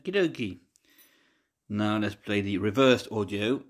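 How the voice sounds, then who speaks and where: Slow-talking man with a lowish voice. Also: expressive, soft background music. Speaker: app tester running a ghost box session. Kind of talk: casual spoken demonstration.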